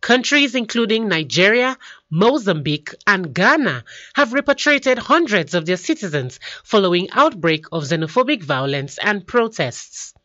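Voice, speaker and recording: Female news presenter, lively and well-paced delivery, dry close sound. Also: mid-range voice, normal speed, dry recording, dull audio.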